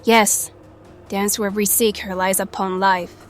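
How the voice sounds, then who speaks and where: A woman's voice: medium pitch, little pitch movement, a little slow, soft background music, lightly acted. A woman acting in an audio drama as a mysterious, earnest maiden and fearless ally with cryptic, formal phrasing. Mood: assured, solemn.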